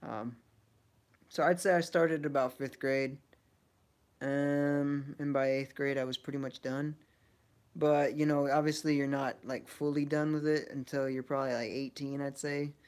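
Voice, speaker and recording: A man's voice: mid-range voice, steady tone, a little slow, a little mellow. A man in his early twenties chatting casually on a livestream, answering viewers in a laid-back, slangy way. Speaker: game streamer.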